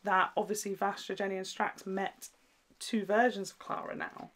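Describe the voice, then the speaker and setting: A man's voice: high voice, level tone, normal speed, over a noisy crowd. A young British man chatting casually as a reaction show host, enthusiastic, informal and sweary. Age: young adult. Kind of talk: casual chat. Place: small indoor room at home with bookshelves.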